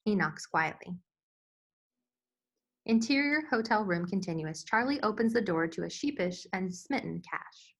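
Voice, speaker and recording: A woman's voice: medium pitch, expressive, a little slow, a bit bright. Female narrator for a screenplay table read, medium register, delivering scene directions.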